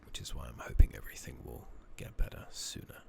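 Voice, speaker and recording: A soft-spoken man, partly whispering in an ASMR game playthrough style, speaking haltingly with long pauses into a close microphone. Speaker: game streamer, ASMR host. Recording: steady noise, keyboard typing and clicking.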